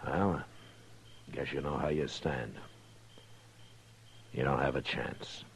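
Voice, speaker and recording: An actor playing a stern, seasoned frontier marshal in a radio Western, terse and commanding with a dry, skeptical edge. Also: male voice, very deep voice, fairly even intonation, slow pace, creaky, heavily acted. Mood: stern, probing. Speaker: lawman. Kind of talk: old-time radio Western acting. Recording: hissy old radio recording.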